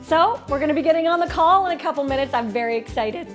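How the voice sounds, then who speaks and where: A woman's voice: high voice, lively intonation, fast, soft background music. A middle-aged woman from Canada, a travel vlogger chatting casually and with animation. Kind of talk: casual chat.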